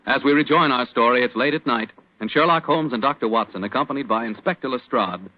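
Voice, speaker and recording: A man's voice: medium pitch, a little hiss or hum. Muffled 1940s American radio announcer man. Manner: smooth folksy sales patter. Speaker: radio announcer and sponsor pitchman.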